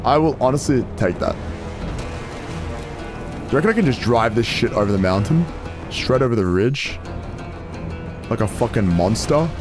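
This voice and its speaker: Chatty young man with lively pitch. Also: normal speed, long pauses. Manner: joking, chatty, swears freely. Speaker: gameplay streamer. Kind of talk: casual gameplay commentary. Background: game music.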